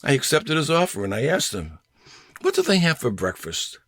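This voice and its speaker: A man reading his own humorous essay in a deliberate, deadpan manner. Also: fairly high pitch, breathy edge, dry recording.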